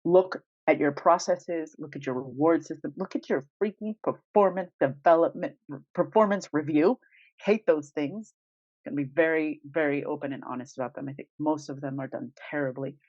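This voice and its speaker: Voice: medium pitch, normal speed, a little mellow, no room sound. A podcast co-host talking casually and emphatically, with strong opinions and informal phrasing. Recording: dull, lo-fi dry recording.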